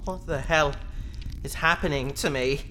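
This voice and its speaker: Bright, earnest young rebel heir. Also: male voice, a little high, unhurried, a little hiss or hum. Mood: alarmed. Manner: earnest, pleading. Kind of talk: science fiction audio drama acting.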